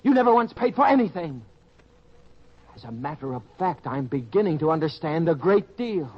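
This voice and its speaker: A man's voice: a little high, very animated, moderate pace. An actor playing a naive, earnest young farm boy who has been swindled, in an old-time radio drama style. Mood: indignant, angry. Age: young adult. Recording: dull lo-fi radio-style recording.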